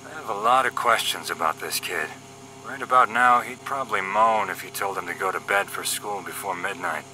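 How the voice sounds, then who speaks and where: A man's voice: on the low side, normal speed, sharp tone, a little hiss or hum, rough recording. A man acting as a wisecracking young paramilitary agent in a scripted audio drama, cocky and flippant in his banter. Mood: grumbling.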